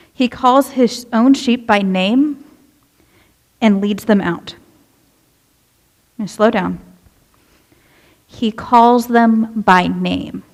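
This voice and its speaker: A young woman, a youth minister, preaching a casual, conversational sermon in a church and asking the congregation questions. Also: on the high side. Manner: chatty, relatable, asks the congregation questions. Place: church sanctuary.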